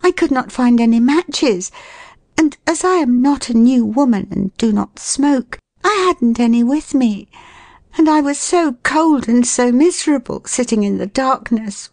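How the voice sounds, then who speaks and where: A woman's voice: a little high, normal speed, a little mellow, no echo, pitched above the performer's own voice, lightly acted. A woman voicing a flustered, genteel lady in a storybook, piteous and over-polite, with a quavering delivery. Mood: apologetic, plaintive. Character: flustered, prim, pitiful lady apologising to a stranger. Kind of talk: storybook character voice.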